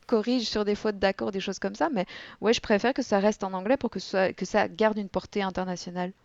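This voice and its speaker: A young woman guest telling stories and explaining her work in a relaxed podcast interview, with animated storytelling. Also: mid-range voice, a little fast, creaky, dry recording. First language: French. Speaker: guest, board game content creator. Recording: dull, dry lo-fi audio.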